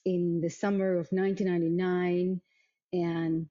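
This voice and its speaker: A middle-aged woman from Africa, telling her story as an interview guest in a thoughtful, halting way with many repeated words. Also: lowish voice, flat delivery, mellow. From South Africa. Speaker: guest, arts nonprofit vice president. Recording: dull, muffled lo-fi sound.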